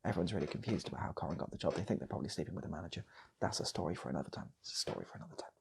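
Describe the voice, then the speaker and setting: A man improvising a comic story in a soft, breathy ASMR style, often repeating phrases and slipping into whispers. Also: low-pitched, racing, noisy background. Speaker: storyteller, channel host.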